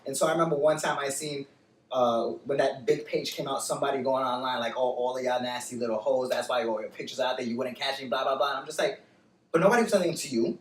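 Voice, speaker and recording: A man's voice: mid-range voice, fast, reverberant, far from the mic, slight background noise, poor audio. Sassy, blunt male podcast guest. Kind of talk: casual chat.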